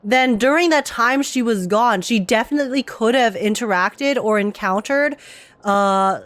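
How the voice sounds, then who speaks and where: A woman's voice: on the high side, a little slow, a bit bright. A young woman reacting to a game on stream, chatting casually in a playful, giggly way.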